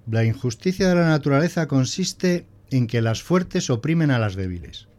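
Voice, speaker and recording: A man's voice: mid-range voice, lively intonation, moderate pace, darkish tone, close to an everyday voice. A man playing a prim, pedantic male schoolteacher who lectures didactically in a scripted audio drama. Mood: pompous lecturing. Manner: didactic, pompous.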